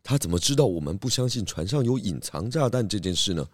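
A man playing a calm, shrewd pig detective in a children's audio drama, deliberate and authoritative. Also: fairly low pitch, slightly rough, no room sound, studio quality. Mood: serious.

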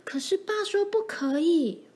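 A woman voicing a fearful, cautious young girl in a storybook, speaking in a soft, timid whisper. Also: very high voice, animated, measured pace, voice pushed up. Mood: timid, worried. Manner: timid, hushed.

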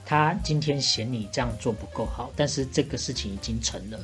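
一位台灣腔中文的女性播客主持人，閒聊中帶點調侃，又像老師一樣解釋用語。. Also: female voice, a bass voice, a little fast, faint music behind. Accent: Taiwanese Mandarin. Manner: teasing, instructive. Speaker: podcast co-host, bartender persona. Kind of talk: casual chat, explaining slang.

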